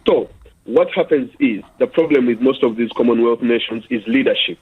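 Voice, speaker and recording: A Ugandan-born man calling a radio phone-in, heard through a tinny telephone line. His voice is husky and mid-pitched, and he argues earnestly, padding his points with fillers. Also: fairly quick. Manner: earnest, argumentative, many fillers. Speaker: caller. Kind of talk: phone-in call.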